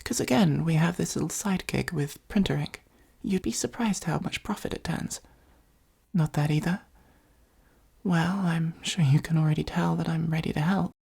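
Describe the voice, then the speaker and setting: A woman playing a possessive supervillain who is secretly fond of a hero, in an intimate ASMR roleplay, speaking softly and breathily with an earnest, gently wry delivery. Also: low-pitched, moderate pace, heavily acted. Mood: tender, concerned. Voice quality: soft, husky, breathy, often near whisper.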